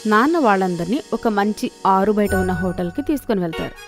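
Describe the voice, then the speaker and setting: A woman's voice: mid-range voice, lively intonation, brisk. Female narrator, mid-range voice, scripted kids' storytelling, prominent music bed.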